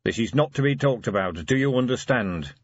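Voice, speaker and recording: A man's voice: mid-range voice, animated, a little fast, lo-fi sound, slight background noise, pitched above the performer's own voice, lightly acted. A man voicing a scornful, commanding dwarf leader in an audiobook, insistent and secretive. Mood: secretive, stern. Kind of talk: audiobook character acting.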